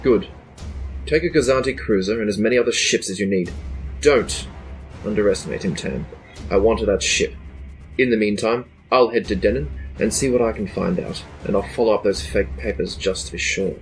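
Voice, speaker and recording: A stern Imperial baron played by a man in a space audio drama, speaking in a lowish voice with cold, threatening authority. Music runs behind him. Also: normal speed, natural voice, rough recording. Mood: commanding. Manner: cold, clipped, exasperated authority. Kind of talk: sci-fi audio drama acting.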